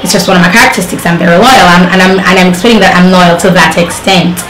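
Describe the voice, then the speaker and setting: A woman's voice: lowish voice, racing, fairly bright tone. A young woman from Jamaica talking casually to camera as a beauty vlogger, rambling with fillers and restarts. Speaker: beauty vlogger reviewing hair. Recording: echoey room with faint noise.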